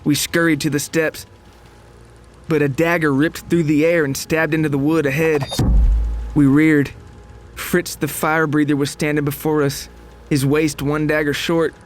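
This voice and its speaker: A man's voice: fairly high pitch, little pitch movement, measured pace, slight background noise. A man narrating a scripted first-person horror story in a dramatic, solemn, suspenseful manner.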